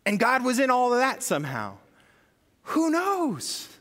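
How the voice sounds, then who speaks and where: High-voiced pastor in a reverberant hall. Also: male voice, huge pitch swings, a little slow, a bit bright. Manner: conversational, questioning the congregation. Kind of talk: sermon.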